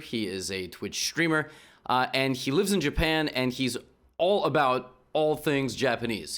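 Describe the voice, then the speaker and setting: Easygoing male podcast host. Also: medium pitch. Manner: relaxed, filler-heavy, stumbling restarts. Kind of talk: casual podcast interview.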